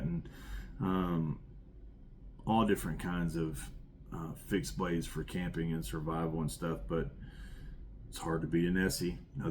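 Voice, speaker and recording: A man's voice: low-pitched, darkish tone, slight background noise. A middle-aged man with a rural Southern American accent, rambling with enthusiasm as he shows off his collection. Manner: enthusiastic, rambling, repeats words. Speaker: knife collection reviewer. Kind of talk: casual show-and-tell.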